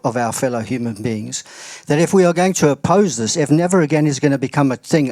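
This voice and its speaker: A man makes an earnest audience comment at a symposium, halting on repeated words. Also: medium pitch, a little mellow, dry recording. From South Africa. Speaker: audience member.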